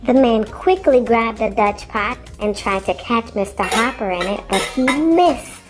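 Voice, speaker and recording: A woman narrating a children's story in an expressive, deliberate, instructive way. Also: a little high. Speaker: storyteller and reading teacher for children. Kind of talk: animated children's read-aloud narration. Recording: music playing behind. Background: music.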